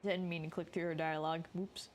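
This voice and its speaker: A woman's voice: low voice, level tone, brisk, a little hiss or hum. A woman game streamer giving casual live commentary as she plays. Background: game music.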